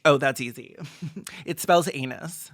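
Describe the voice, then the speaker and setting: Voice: mid-range voice, unhurried. A video essayist giving sarcastic, mocking comedic commentary on a film in a campy way.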